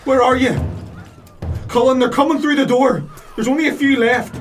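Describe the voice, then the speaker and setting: A man's voice: very high voice, steady tone, normal speed, slight background noise. An actor playing a loyal, frightened henchman, anxiously calling out in a fantasy audio drama. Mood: anxious, pleading. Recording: distant from the mic.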